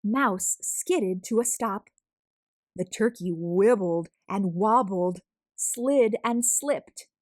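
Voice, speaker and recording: A woman reading a children's picture book aloud as a storyteller, expressive and deliberate, drawing out words for emphasis. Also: medium pitch, no echo, studio quality. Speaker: storyteller, read-aloud host.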